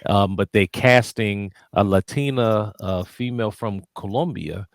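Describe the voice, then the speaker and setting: Hesitant, low-voiced male radio host. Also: a little slow, gravelly, no echo. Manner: hesitant, many fillers and repeated words. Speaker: radio show host, interviewer. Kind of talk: casual interview questions.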